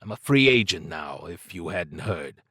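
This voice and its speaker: A man's voice: deep voice, very animated, normal speed, pushed and bright, husky, no echo, studio quality, full character voice. Man playing a shifty, nervous small-time thief. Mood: evasive, defensive. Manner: slangy, evasive, wheedling bravado.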